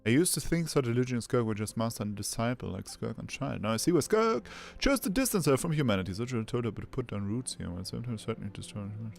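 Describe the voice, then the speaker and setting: A man's voice: medium pitch, lively intonation, fast talker, creaky, few pauses, soft background music. A man, a native German speaker, reacting to a game with casual live commentary.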